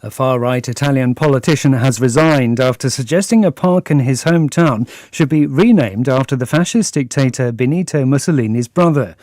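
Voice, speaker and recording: Dark, dry-voiced male newsreader. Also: mid-range voice, no echo. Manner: measured, formal delivery. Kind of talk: scripted news reading.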